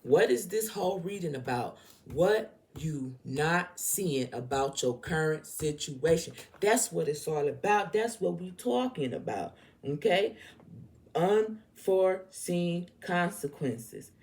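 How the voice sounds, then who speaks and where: A woman's voice: lowish voice, animated, slow pace, a little mellow. A woman with a Southern US accent giving an informal tarot reading, speaking bluntly and emphatically and often repeating herself. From southern United States. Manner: emphatic, repetitive, blunt, checks in with "okay?". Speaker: tarot reader.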